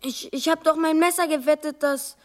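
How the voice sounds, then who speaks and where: A woman's voice: high-pitched, fairly even intonation, normal speed, natural voice. A woman playing a timid, curious schoolboy in a children's radio play, stammering and pleading. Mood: sheepish.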